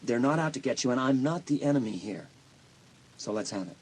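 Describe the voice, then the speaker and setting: An actor playing a concerned, reassuring school counselor in an audio drama, speaking earnestly and soothingly with some hesitation. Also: male voice, medium pitch, lively intonation, fairly quick, a little mellow, breathy edge. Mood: concerned, probing.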